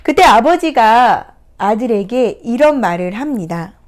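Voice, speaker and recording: A woman counselor giving a reflective talk, retelling a picture book in a gentle, unhurried way with soft hesitations. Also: fairly high pitch, expressive. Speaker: counselor and coach. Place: recording her first video talk.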